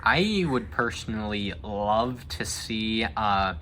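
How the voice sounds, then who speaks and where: Bright-voiced, low-pitched young man chatting. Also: expressive, slow pace, a little hiss or hum. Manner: opinionated, thinking aloud with frequent "like". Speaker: discussion host. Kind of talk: casual chat about video game movies.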